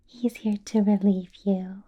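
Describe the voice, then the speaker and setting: A woman playing a doting yet menacing vampire boss in a breathy, whispered ASMR roleplay. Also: medium pitch, steady tone, dark tone, natural voice. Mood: cold, menacing. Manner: slow, breathy whisper with silky, drawn-out phrasing.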